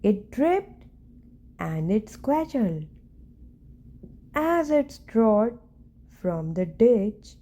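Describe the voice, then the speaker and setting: A woman narrates a children's storybook in a mellow voice. She reads very slowly, in short deliberate phrases, and lets her pitch rise and fall in an animated way. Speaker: storyteller reading a children's picture book. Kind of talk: read-aloud bedtime story narration.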